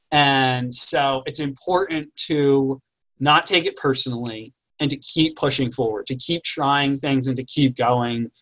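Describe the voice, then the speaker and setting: A man's voice: medium pitch, fairly bright tone, poor audio. A man co-hosting a casual chat, reflecting on past setbacks and giving advice. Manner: reflective, storytelling. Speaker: podcast co-host.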